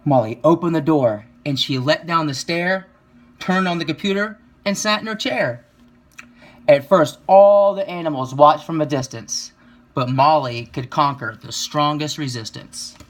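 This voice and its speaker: A man reading a children's picture book aloud, with expressive, rhythmic delivery and drawn-out emphasis. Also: a little high. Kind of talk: children's story read-aloud.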